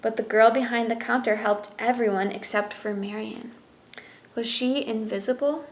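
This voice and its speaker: A woman's voice: a little high, fairly even intonation, normal speed, reverberant. A woman reading a children's picture book aloud as a storyteller, in narration with brief explanatory asides.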